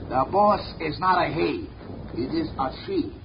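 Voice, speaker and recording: A hulking, thick-headed male asylum orderly who growls threats in rough street talk, his voice pitched a bit high for such a big man. The old radio recording sounds muffled and carries a steady hiss. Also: a little slow. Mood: surly. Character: gruff muscle-bound male asylum nurse. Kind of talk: 1940s radio acting.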